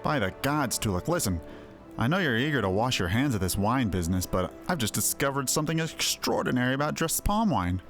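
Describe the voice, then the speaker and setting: Guy doing earnest winemaker dude from a fantasy game, expressive, medium pitch, music behind it. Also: brisk. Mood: excited, urgent. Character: earnest male winemaker, scarred, thoughtful, excitable about vines. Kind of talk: game quest dialogue read aloud. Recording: music under the voice.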